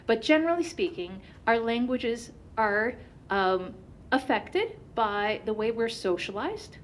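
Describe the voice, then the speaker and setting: A woman whose first language is Turkish, giving a conference presentation in an animated way with many fillers. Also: on the high side, a little slow, slight background noise.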